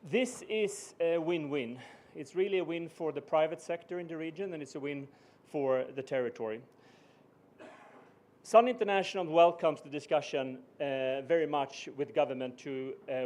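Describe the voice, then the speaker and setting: A man's voice: mid-range voice, a little mellow. Swedish-accented man giving a formal speech. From Sweden. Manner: measured, with frequent "uh" pauses. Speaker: business executive giving a speech. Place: ceremony venue.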